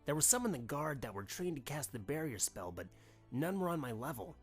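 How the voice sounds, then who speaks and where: A man playing a dutiful, defensive pony prince and guard, formal and measured, in a fan audio drama. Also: medium pitch, big pitch swings, husky, soft background music. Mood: sober.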